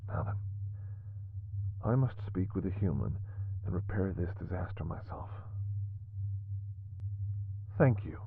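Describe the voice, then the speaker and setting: Through a thin, muffled filter comes a slow, husky male alien division chief, acted by a man. He is commanding and exasperated, handing down formal, clipped orders in a sci-fi audio drama. The sound is very dry and phone-like. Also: deep voice, animated, dry recording, full character voice. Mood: stern, angry. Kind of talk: sci-fi audio roleplay acting.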